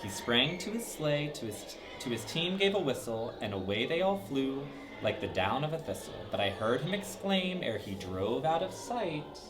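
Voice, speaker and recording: Lively man reading a story to kids. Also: mid-range voice, moderate pace, hardly any pauses, over music, low-quality recording. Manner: lively, sing-song, with dramatic swoops. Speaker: storybook reader for children. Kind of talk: reading a rhyming story aloud.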